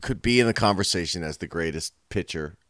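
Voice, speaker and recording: A man in his thirties with a hoarse, raspy throat, explaining things in a knowledgeable, low-key way during a casual chat. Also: a little fast, a bit bright, no echo, clean studio sound. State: hoarse today. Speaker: co-host.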